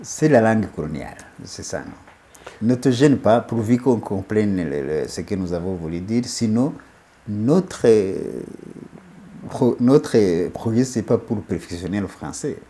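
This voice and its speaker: A man's voice: lowish voice, lively intonation, unhurried, mellow. A man, a studio guest, giving emphatic political commentary, who often repeats and restarts his phrases.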